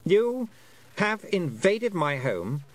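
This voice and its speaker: Man playing a high, haughty, mocking fairy Queen. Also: very animated, heavily acted, rough recording. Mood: icy, affronted. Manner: condescending, drawn-out, mock-polite. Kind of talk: audiobook character voice.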